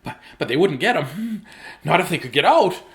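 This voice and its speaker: A frightened little boy rabbit from a storybook, acted out by a man. He is excitable and frantic, with pitch that leaps around widely as he stammers and repeats himself. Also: normal speed, crowd noise. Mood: frantic scheming.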